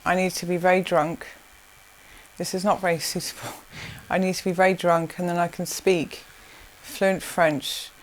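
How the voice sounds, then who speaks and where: A woman from South Africa, answering interview questions in a rambling way with many restarts and soft laughs. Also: lowish voice, little pitch movement, normal speed, poor audio. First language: Zulu.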